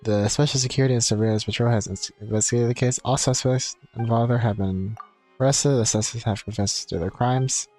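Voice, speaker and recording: Casual male game streamer, medium pitch. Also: fairly even intonation, a little fast, darkish tone, rough recording. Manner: informal, rambling. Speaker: game livestreamer. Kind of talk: casual livestream chat, reading game text aloud. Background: game music.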